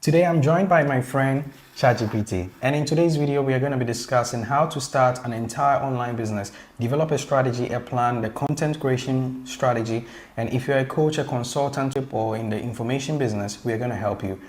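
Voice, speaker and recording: Male host, casual tutorial delivery, eager and conversational, mid pitch. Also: fairly quick, few pauses. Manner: eager, chatty, addresses the audience directly.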